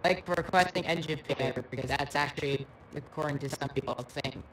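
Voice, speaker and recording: A man's voice: fairly high pitch, brisk, a bit bright, distant mic, a little hiss or hum. A man in a multiplayer flight game, chatting casually over voice chat and defending himself against the controller. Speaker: multiplayer pilot.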